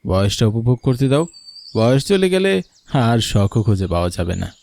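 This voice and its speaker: Man acting a mellow, caring young husband. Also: medium pitch, big pitch swings, moderate pace, gravelly. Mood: persuasive, gentle. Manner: coaxing, reasoning. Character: caring young husband and persuasive, gentle, reasoning son. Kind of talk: animated story character acting.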